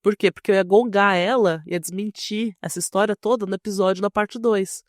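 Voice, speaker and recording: A woman's voice: medium pitch, fairly even intonation, brisk, dry recording, clean studio sound. A woman co-hosting a podcast in casual chat. She has a cold and sore throat, so she sounds nasal and stuffed up, and she jokes and laughs a lot. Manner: joking, laughs often. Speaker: podcast co-host.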